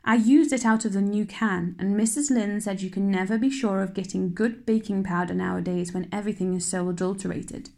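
A woman voicing an eager, chattering young girl who rushes her words and pleads. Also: mid-range voice, steady tone, gravelly, dark tone, lightly acted. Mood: anxious. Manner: breathless, rushing, pleading.

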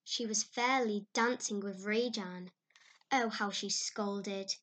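A woman's voice: medium pitch, takes it slowly. A woman reading aloud, voicing a spirited, loyal young girl who speaks emphatically. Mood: emphatic. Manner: excited, emphatic.

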